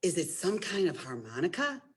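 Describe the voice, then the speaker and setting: A woman's voice: on the low side, sing-song, moderate pace, slightly rough. A woman presenting a storytime as a children's librarian, talking directly to young listeners in an encouraging way and reading a picture book aloud.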